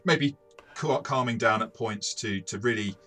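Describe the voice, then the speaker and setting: A man talking casually through a game review in a measured, explanatory way. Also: medium pitch, fairly bright tone, soft background music. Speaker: tabletop game reviewer and game master.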